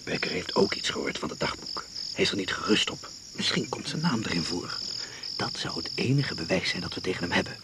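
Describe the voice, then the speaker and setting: An actor playing a sharp amateur sleuth in a radio thriller, brisk and conspiratorial. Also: male voice, deep voice, animated, vocal fry, very noisy. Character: sharp, urgent male amateur sleuth in the jungle. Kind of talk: radio drama acting.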